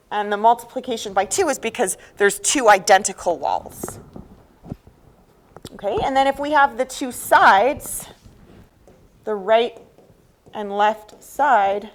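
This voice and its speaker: A woman teaching a math class, explaining step by step and often checking understanding with questions. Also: on the high side.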